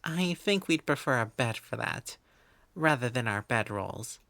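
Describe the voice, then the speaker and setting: A woman's voice: extremely low pitch, lively intonation, unhurried, fairly bright tone, no echo, heavily acted. A woman voicing a proud female elf wizard in an audio roleplay, prim and aloof, often sighing. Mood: softening.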